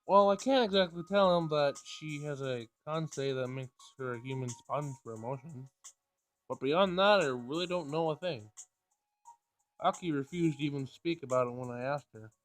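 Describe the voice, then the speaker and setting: A man with a slightly high voice plays through a game, reading the text aloud and muttering his thoughts casually. Soft music plays behind him. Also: normal speed. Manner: thinks aloud, informal slangy reactions. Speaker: let's play gamer.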